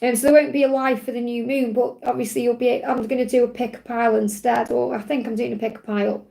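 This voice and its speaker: A woman hosting a live spiritual card reading, her voice croaky from overwork, reassuring and repeating her key phrases. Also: a little high, little pitch movement, fast, mellow, rough recording. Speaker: spiritual card reader, livestream host.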